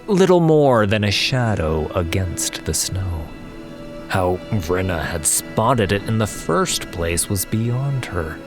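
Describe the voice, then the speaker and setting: A man narrating a scripted fantasy story in a measured, solemn manner. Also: lowish voice. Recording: faint ambience behind the voice.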